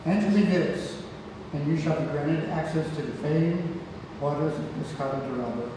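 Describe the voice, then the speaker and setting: Stage grandfather, male actor: earnest, guiding, slightly high-pitched, in a heavily echoing room. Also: normal speed, natural voice. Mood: firm, earnest. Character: protective grandfather playing a riddling golden idol. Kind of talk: stage play table read. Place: theatre room, recorded from far off. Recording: distant echoey hall, clear background noise.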